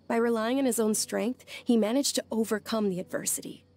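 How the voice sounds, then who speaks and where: A woman playing a gentle, polite young follower of a deity in a video game, speaking with soft, hesitant courtesy. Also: fairly high pitch, fairly even intonation, fairly quick, natural voice. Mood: earnest storytelling. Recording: faint music behind the voice. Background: background music.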